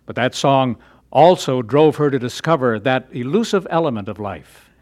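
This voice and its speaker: A man introducing a radio drama in a measured, formal announcer's delivery. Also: medium pitch, animated, darkish tone.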